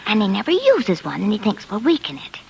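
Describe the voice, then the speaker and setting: A woman's voice: medium pitch, huge pitch swings, brisk, creaky. An actress playing a chatty, soothing law-office woman in a 1940s radio drama, coaxing and folksy in manner. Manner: coaxing, folksy, calls people honey. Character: chatty, soothing female law-office worker, pushily reassuring. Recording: noisy old 1940s radio broadcast.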